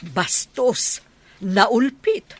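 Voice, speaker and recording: A woman's voice: a little high, animated, very slow, breathy edge, full character voice. A woman playing an elderly village mother, affectionate but aggrieved, in an Ilocano radio drama, with an emphatic motherly manner. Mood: indignant.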